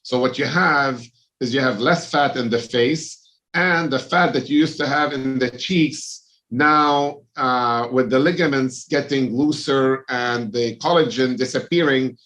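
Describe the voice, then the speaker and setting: A middle-aged man from Lebanon speaks as a plastic surgeon being interviewed, his voice sitting in an ordinary middle pitch. He explains at a measured pace. He fills his pauses with uh and um while he searches for the right word. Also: fairly bright tone. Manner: measured, explanatory, many fillers. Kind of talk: interview answers.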